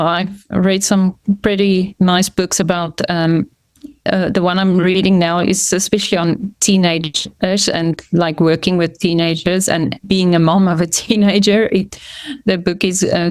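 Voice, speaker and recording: A middle-aged woman whose first language is Afrikaans talks as a podcast guest, with a slightly low, smooth and resonant voice. She pauses often, filling the gaps with "um" and restarting her sentences. The sound is close and dry. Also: level tone, no room sound. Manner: hesitant, many fillers and restarts. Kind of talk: casual conversational interview.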